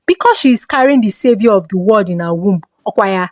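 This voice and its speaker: A woman's voice: mid-range voice, animated, brisk, darkish tone, dry recording, studio quality, natural voice. A woman with a Nigerian accent voicing a scoffing, indignant older mother-in-law in a village folktale. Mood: scornful.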